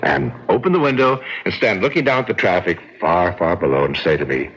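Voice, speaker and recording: A man's voice: low-pitched, fairly quick, a bit bright, slightly rough. A man playing a suave, cold killer in a radio drama, speaking in a smug, theatrical, taunting manner. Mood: gloating. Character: suave, cold, gloating killer brother-in-law. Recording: dull, band-limited old radio recording.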